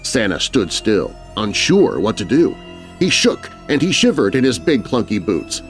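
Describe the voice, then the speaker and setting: A male narrator tells a spooky children's tale in rhyme. His delivery is theatrical and very animated, with music underneath. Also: lowish voice, unhurried. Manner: theatrical, rhyming storyteller delivery. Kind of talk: dramatic children's horror story narration.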